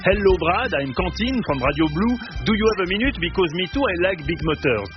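Man voicing an eager French reporter with a heavy accent, high and singsong, dull radio sound. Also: big pitch swings, moderate pace, music in the background. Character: eager young male French reporter, clumsy English.

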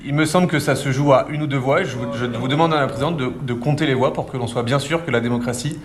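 A man's voice: medium pitch, fast talker. A man objecting formally and insistently but courteously in a mock parliament in a lecture hall. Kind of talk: formal objection in a role play. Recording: loud room noise in a hall.

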